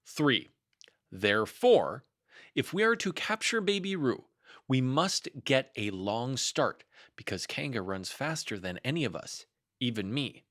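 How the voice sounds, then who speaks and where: A man's voice: mid-range voice, sing-song, measured pace, fairly bright tone. A man voicing a bossy, self-important storybook rabbit with an officious, lecturing manner.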